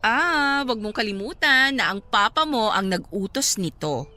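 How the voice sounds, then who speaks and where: A woman's voice: on the high side, animated, a little slow, bright, forceful tone. A woman playing a smug, cold-hearted young wife and scornful rival in a Filipino radio drama, dismissive and taunting, with a Filipino accent. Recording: dramatic music bed behind the voice.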